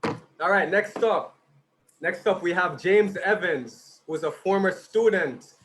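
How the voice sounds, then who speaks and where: Slightly high-voiced man, tiring voice. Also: takes it slowly, a bit bright. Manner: informal, chatty, slight stumbles. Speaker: son hosting a birthday tribute. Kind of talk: reading out tribute messages with personal asides.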